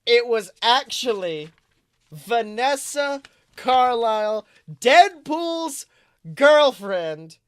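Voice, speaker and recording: A man with a high-pitched voice, recorded very dry and close. He tells an improvised comic story as a casual podcast co-host, rambling and correcting himself while playing up the drama. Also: big pitch swings, drawn-out words, fairly bright tone, smooth, no room sound.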